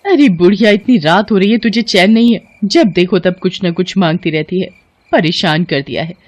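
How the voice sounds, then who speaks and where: A woman's voice: fairly low pitch, moderate pace, darkish tone, lightly acted. A woman voicing a vain, haughty, selfish young daughter-in-law in a cartoon melodrama, complaining dramatically. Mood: irritated, cruel.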